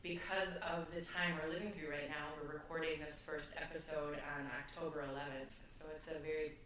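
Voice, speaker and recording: A woman's voice: low-pitched, little pitch movement, fairly quick, a crowd in the background. A middle-aged woman co-hosts a casual podcast conversation, prompting with questions, recorded with heavy echo and at a distance from the mic. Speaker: podcast co-host. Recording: echoey, distant from mic, faint noise.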